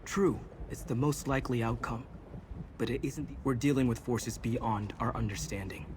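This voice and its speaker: An actor playing a resolute, philosophical mentor in a fantasy game, speaking earnestly and with command. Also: male voice, steady tone, moderate pace, slight background noise, natural voice. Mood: firm, reflective. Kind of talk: dramatic fantasy game acting. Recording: game audio with rumbling ambience.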